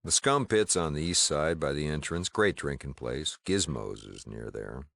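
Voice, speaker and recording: An actor playing a gruff, plain-spoken mayor and shopkeeper of a frontier town in a video game, with an American accent and a wry, folksy drawl. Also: male voice, moderate pace, fairly bright tone, no room sound, clean studio sound, full character voice. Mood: matter-of-fact. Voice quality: deep, gravelly. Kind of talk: video game dialogue acting.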